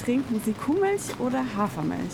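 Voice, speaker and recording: Lively high-voiced woman interviewing, noisy street. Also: moderate pace, creaky. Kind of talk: short vox pop questions. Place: outdoors on a city street.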